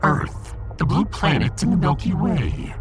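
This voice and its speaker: A man playing a pompous, menacing alien fish emperor in a sci-fi audio drama, with a gravelly, theatrical delivery. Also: a little high, very animated, over music, full character voice. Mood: grandly boastful. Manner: pompous, theatrical, slow and deliberate.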